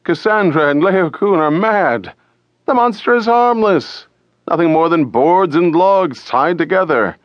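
A man's voice: on the high side, expressive, unhurried, no room sound, highs cut off, voice pushed up. A man voicing credulous, jubilant ancient townsfolk in an audiobook, with raised, exclamatory delivery. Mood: mocking.

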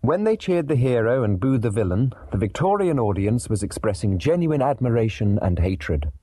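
A man reading a scripted documentary narration in a literate, measured way with dramatic emphasis. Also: mid-range voice, very animated, warm tone, slightly rough, dry recording.

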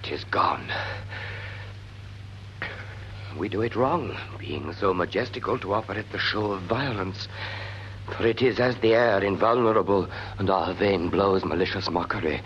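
An actor playing an earnest soldier on night watch, speaking verse emphatically in a classical Shakespearean radio drama. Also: male voice, sits low, moderate pace, a bit bright. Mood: regretful, awed. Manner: emphatic, theatrical verse delivery. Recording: muffled vintage recording with hiss.

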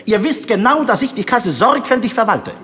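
A man's voice: extremely high pitch, big pitch swings, normal speed, a bit bright, big-room sound, full character voice. A man playing an indignant, self-righteous disciple who keeps the money, pointed and protesting, in a children's audio drama.

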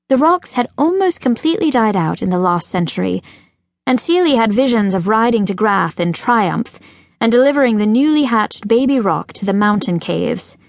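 A woman narrates a children's fantasy story in a mid-pitched voice. Her delivery is measured and expressive, shaped as scripted audiobook storytelling. The sound is close and dry, with no room echo. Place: recording studio.

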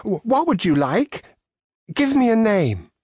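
Man voicing an eager little boy, pitched high. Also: sing-song, unhurried, a little mellow, breathy edge, no echo, clean studio sound, heavily acted. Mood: playful, excited. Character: eager, imaginative five-year-old boy at play. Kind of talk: children's storybook character voice.

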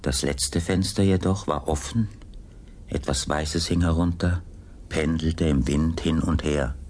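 A man's voice: very deep voice, fairly even intonation, unhurried, darkish tone, a little hiss or hum. A man narrating a scripted audiobook drama as its storyteller.

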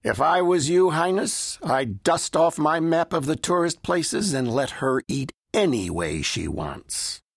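An actor playing a gruff, irreverent sea captain with rustic, colloquial speech and a deadpan wit, in an audiobook. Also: male voice, a little high, measured pace, hardly any pauses, dry recording, exaggerated voice. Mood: dry teasing. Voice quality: gravelly, husky. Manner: rustic, colloquial grammar, dry teasing. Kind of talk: full-cast audiobook character acting.

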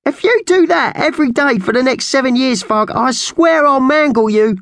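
Shrill squawky, indignant magpie crook, way high, scolding, storybook style. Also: male voice, normal speed, fairly bright tone, dry recording, studio quality. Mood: exasperated. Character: thin, hot-tempered male magpie crook, screechy scold.

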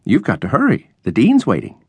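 Man putting on a gushing middle-aged landlady, swooping pitch. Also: sing-song, normal speed, no echo. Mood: chatty, bright. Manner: gabby, falsely bright, prying.

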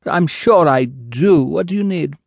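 A man's voice: fairly high pitch, animated, measured pace, creaky, dry recording, voice pushed up, heavily acted. A man reading an audiobook, voicing an elderly female ghost witch who is doting, nosy and fond of calling people dearie. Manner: fluttery, motherly, teasing, calls others dearie.

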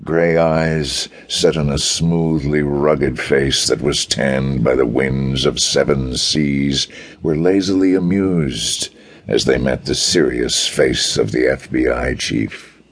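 A man with a gravelly, husky voice reading pulp detective fiction as a dramatic audiobook narrator. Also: extremely low pitch, takes it slowly.

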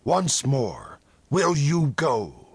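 A man's voice: medium pitch, huge pitch swings, extremely slow, creaky, breathy edge, no echo, full character voice. A man voicing a grim, menacing religious elder. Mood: harsh threat. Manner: tense, harsh, commanding. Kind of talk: audiobook character dialogue in a western.

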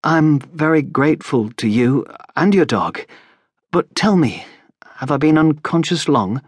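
A man's voice: fairly high pitch, expressive, unhurried, breathy edge, no room sound, pitched above the performer's own voice. A man voicing a wary, courteous injured young traveller in an audiobook, speaking hesitantly and politely. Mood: earnest, grateful. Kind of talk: audiobook character voice.

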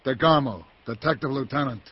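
Guy playing a tough american cop, medium pitch, curt and bullying, dull old radio drama sound. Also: a little fast, breathy edge, natural voice. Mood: menacing, suspicious. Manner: curt, menacing. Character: tough, bullying American male police lieutenant. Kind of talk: hardboiled radio drama dialogue.

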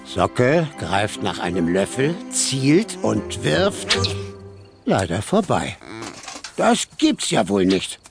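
Animated, creaky male storyteller over music. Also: lowish voice. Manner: expressive, dramatic pauses. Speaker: narrator. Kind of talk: lively children's storytelling, scripted narration.

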